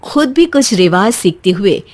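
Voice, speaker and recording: A woman's voice: medium pitch, big pitch swings, moderate pace. A woman reading a scripted story as its narrator.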